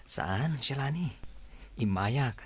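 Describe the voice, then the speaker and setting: A man playing a flattering, persuasive young male recruiter in a radio drama. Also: mid-range voice, slow pace, breathy edge, full character voice.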